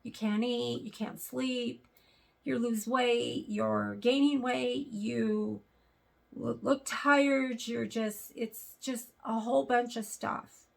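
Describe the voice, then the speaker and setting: A young adult woman with a sore throat and a raspy voice, talking earnestly to camera in a casual vlog with lots of fillers. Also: on the high side, steady tone, takes it slowly. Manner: earnest, hesitant, many fillers. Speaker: vlogger.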